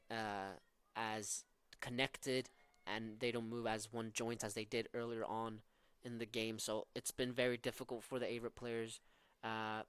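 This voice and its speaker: A man's voice: medium pitch, fairly bright tone, clear, resonant tone, no room sound. A young man from southern Norway with a Norwegian accent, calling live college soccer with tactical analysis and frequent fillers. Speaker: play-by-play commentator.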